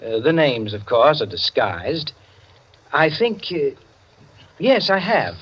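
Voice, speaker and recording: An actor playing a sly clubman storyteller in a radio drama, speaking in a measured, deliberate, understated way. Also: fairly high pitch, big pitch swings, breathy edge, full character voice. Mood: quietly intriguing. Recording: dull lo-fi radio sound.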